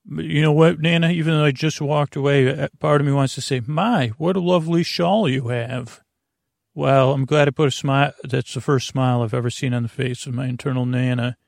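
A man with a creaky, gravelly voice at a middling pitch rambles through a bedtime podcast. He halts, doubles back and pokes fun at himself with whimsical asides, in a close, dry sound. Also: steady tone, no room sound. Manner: meandering, halting, self-deprecating; whimsical, acting out little imaginary exchanges. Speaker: podcast host.

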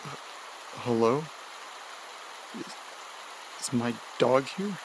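An actor playing an anxious, desperate man in an audio drama, speaking haltingly and repeating words. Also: medium pitch, animated, background noise. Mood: nervous, hesitant. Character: anxious, desperate man searching for his dog.

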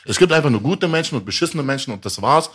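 A man's voice: medium pitch, lively intonation, fast, a bit bright, husky. A young man chatting casually and candidly, with a streetwise way of talking. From half Italian, half Iranian, raised in Germany. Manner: streetwise, candid, often says "weißt du". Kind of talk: casual chat.

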